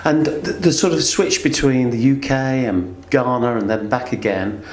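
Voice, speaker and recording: Male interviewer, average pitch, conversational hosting, recorded with noticeable room echo. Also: normal speed. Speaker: podcast host, interviewer. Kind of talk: interview questions and guest introduction. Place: indoor room at a company. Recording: roomy echo.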